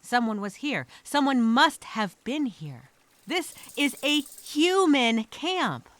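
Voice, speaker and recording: Woman acting an anxious castaway, slow and halting, widely swinging pitch. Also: dry recording, full character voice. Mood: relieved, shaken. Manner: expressive, halting, sighing, repeats herself. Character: anxious stranded woman castaway journaling on a deserted island. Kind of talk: dramatic first-person audio journal monologue.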